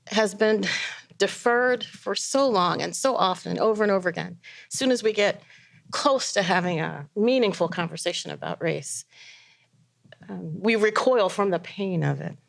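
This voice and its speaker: Creaky-voiced woman speaking seriously. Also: medium pitch. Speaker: academic lecture series host. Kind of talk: serious, reflective introduction.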